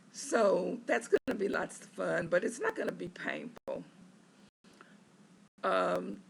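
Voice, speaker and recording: A hoarse patient speaks from a hospital bed, the voice raspy and heavy with gravelly fry after neck surgery. The talk comes very slowly, halting and full of filler pauses, as a casual video diary update. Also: medium pitch, slight background noise.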